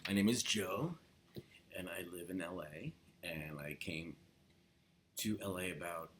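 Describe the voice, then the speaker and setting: Deep-voiced New man, easygoing. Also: a little slow, a bit bright, slight background noise. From New York. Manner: rambling, filler-heavy, acts out quoted LA speech with rising upswing. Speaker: accent coach. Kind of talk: casual instructional talk to camera.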